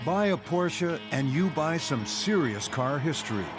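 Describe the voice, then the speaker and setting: A man narrating a TV car show from a script, in a measured, wry tone. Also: fairly high pitch, expressive, loud music behind the voice.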